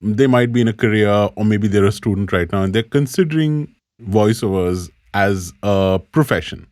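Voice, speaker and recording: A man's voice: on the low side, moderate pace, a little mellow, dry recording, clean studio sound. A man hosting an interview show, asking guided questions and repeating back the guest's points. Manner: leading, recaps the guest's points. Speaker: show host. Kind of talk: interview host.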